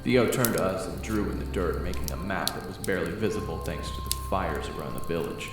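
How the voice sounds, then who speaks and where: A man with a lowish voice narrates a roleplay story to the listener in a steady storytelling manner. The voice rings out with heavy room echo, and music plays clearly beneath it. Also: a little fast. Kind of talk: second-person roleplay narration. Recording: very echoey with music. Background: background music.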